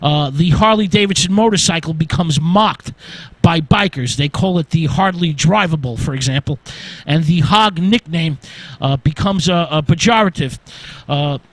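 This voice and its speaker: A man with a high-pitched voice presents an informal history lecture on the radio. He works through his notes in short phrases, often halting to say uh. The sound is close and dry, with very little room in it. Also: no room sound. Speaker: radio host.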